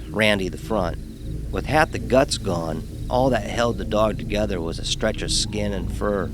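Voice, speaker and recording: A man narrating a first-person horror story in a dramatic, wry manner. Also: on the low side.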